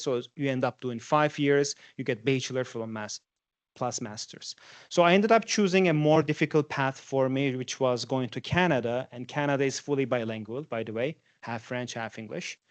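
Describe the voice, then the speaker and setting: A man's voice: medium pitch, no echo. A man in his forties from Turkey, a native Turkish speaker, giving a conversational guest lecture to students and asking them questions. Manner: conversational, asks the audience questions.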